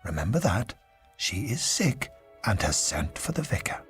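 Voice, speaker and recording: A man voicing a stern vicar who turns into a commanding pirate captain, speaking slowly and firmly. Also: on the low side, sing-song, a bit bright, soft background music, voice pushed down. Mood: urgent.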